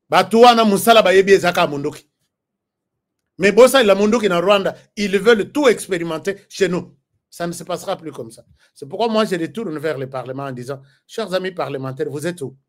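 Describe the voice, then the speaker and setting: Congolese male commentator, high voice, fiery rhetorical delivery, hammers his points. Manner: emphatic, rhetorical, repeats phrases for force. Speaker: political commentator. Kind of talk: impassioned political commentary.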